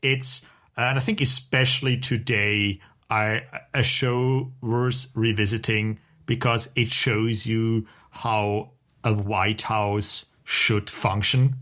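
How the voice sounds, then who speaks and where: A man co-hosting a podcast, reviewing things in a casual, rambling and halting way. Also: fairly low pitch, steady tone, fairly bright tone. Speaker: podcast co-host.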